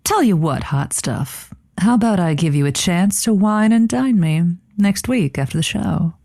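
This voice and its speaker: A woman's voice: fairly low pitch, lively intonation, unhurried, gravelly, dark tone, no room sound, clean studio sound, exaggerated voice. A woman playing a bluffing, flirtatious, self-assured new radio host in an audio comedy drama, with a playful, teasing manner. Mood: flirty, firm.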